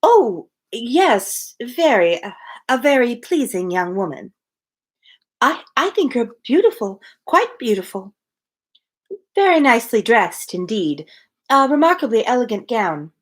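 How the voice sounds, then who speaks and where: Period novel heroine, read by a woman: young gentlewoman, measured, polite, guarded, expressive pitch. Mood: guarded politeness.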